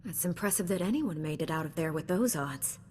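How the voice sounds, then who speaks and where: An actress playing a composed, kindly female space knight in a video game, measured and gently dry in manner. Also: fairly low pitch, lively intonation, long reverb tail, slight background noise, lightly acted. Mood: tactful, measured. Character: composed, kindly female Jedi, dryly tactful leader. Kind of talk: scripted video game acting.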